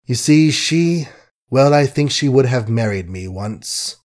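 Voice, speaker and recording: A man voicing a lovesick young gentleman who berates himself in impassioned, desperate outbursts in an audiobook. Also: medium pitch, animated, a little slow, close to an everyday voice. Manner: impassioned, desperate, self-mocking. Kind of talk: audiobook character voice.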